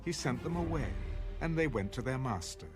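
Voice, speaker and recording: A man's voice: medium pitch, animated, brisk, over music. A man narrating scripture from a script in a dramatic audio Bible style.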